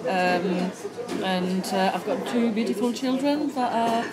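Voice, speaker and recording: A woman's voice: medium pitch, slow pace, low-quality recording. A woman in her thirties from France, a guest who talks hesitantly with many fillers. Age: 30s. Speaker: guest, community worker. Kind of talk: casual chat. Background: crowd chatter.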